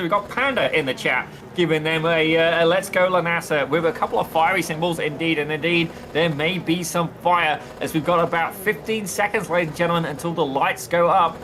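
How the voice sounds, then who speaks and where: A man doing theatrical play-by-play commentary for a sim racing league, addressing viewers as ladies and gentlemen. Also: a little high. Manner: excitable, theatrical, keeps saying "ladies and gentlemen" and "indeed". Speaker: lead race commentator. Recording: noticeable background noise.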